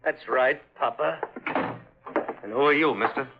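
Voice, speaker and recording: An actor playing a brash, scornful schemer in 1950s radio drama style, taunting and threatening in manner. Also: male voice, medium pitch, a little slow, gravelly, noisy background. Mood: mocking, hostile. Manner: taunting, aggressive. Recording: dull vintage 1950s radio recording.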